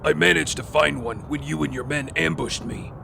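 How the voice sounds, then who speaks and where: A man playing a grim, self-assured hooded vigilante in an audio drama, terse and stern with a gravelly voice. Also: on the high side, moderate pace, noisy background.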